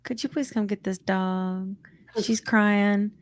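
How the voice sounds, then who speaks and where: A woman's voice: mid-range voice, steady tone, takes it slowly, a crowd in the background. A woman hosting a podcast, chatting casually and playfully in an informal way from home. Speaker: podcast host. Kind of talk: casual group chat. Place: indoors at home.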